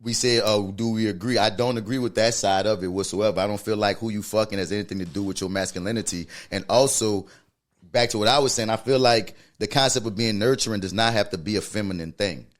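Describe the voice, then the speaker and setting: A man with a New Orleans accent co-hosting a casual podcast discussion, animated and swearing freely. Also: on the low side, fast talker. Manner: animated, swears freely, tags lines with "you feel me". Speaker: podcast co-host.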